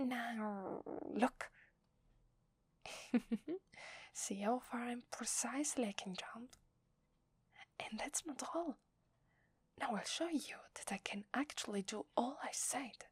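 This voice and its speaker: A woman with an Italian accent playing a loving, playful werewolf wife in a soft, breathy ASMR roleplay, tender and intimate in her delivery. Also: a little high, takes it slowly, long pauses, no room sound, exaggerated voice. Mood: playful, excited. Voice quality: breathy, whispery.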